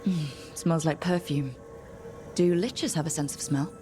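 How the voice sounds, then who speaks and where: An actress playing a curious, plain-spoken adventurer heroine in a fantasy video game, direct and conversational. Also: female voice, sits low, a little slow. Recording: game music playing behind.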